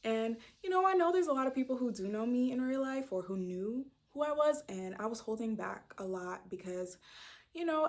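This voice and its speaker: A woman in her early twenties from Brooklyn, New York, talks casually straight to camera. Her speech is chatty and loose, full of fillers, and she swings her pitch up sharply to stress key words. She sounds close to the mic. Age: 20s. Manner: chatty and animated, lots of fillers, sudden loud pitch jumps for emphasis. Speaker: vlogger introducing her channel. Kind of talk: casual direct-to-camera chat.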